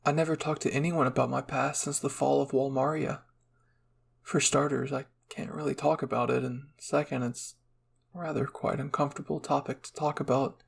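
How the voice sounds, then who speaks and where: A man reading a first-person fan fiction story as soft, measured ASMR narration. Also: medium pitch, level tone, creaky, breathy edge.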